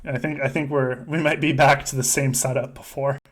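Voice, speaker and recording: A man's voice: mid-range voice, little pitch movement, a little fast. A man hosting a podcast, giving casual, joking play-by-play of a game among friends and swearing freely. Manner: joking, foul-mouthed. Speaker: podcast host running a simulated game.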